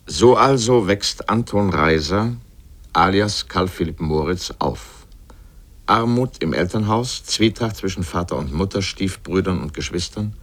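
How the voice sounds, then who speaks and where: Biting, learned essayist for radio drama; very low voice, rhetorical, grandly ironic delivery. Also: male voice, measured pace, fairly bright tone, exaggerated voice. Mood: ironic, emphatic. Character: erudite, polemical male literary essayist with biting irony. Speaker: speaker in a literary radio essay. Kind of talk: scripted literary radio essay narration.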